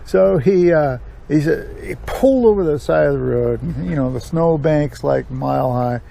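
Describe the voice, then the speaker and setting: A man's voice: a little high, normal speed, creaky, mellow, a little hiss or hum. An older American man telling stories from his past in a casual, rambling, folksy way. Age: older, 60s or above. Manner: rambling, folksy, chuckling asides. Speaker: storytelling vlog host. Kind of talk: casual reminiscing storytelling.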